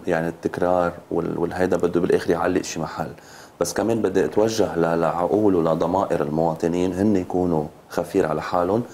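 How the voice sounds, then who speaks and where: A Lebanese man in his fifties speaks as an interview guest in a deep, soft-edged voice. He talks very quickly and insistently, often repeating phrases. There is some noise behind him. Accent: Lebanese Arabic. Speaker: road safety advocate, TV guest. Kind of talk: studio interview. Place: TV studio. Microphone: lapel mic.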